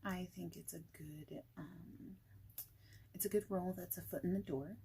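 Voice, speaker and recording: A woman speaking softly and breathily in an ASMR roleplay as a friendly, informal boss, often near a whisper, in a casual, hesitant, rambling manner. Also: lowish voice, steady tone, moderate pace, vocal fry, slight background noise. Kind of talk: soft-spoken ASMR roleplay, informal job interview chat.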